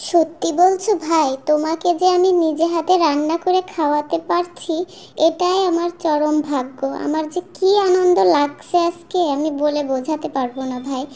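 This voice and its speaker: An extremely high, childlike-pitched village housewife from a cartoon, acted by a woman. She is a devoted younger sister who fusses hospitably over her family. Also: fairly even intonation, normal speed, warm tone, lightly acted. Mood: delighted, fond. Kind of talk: Bangla cartoon dialogue.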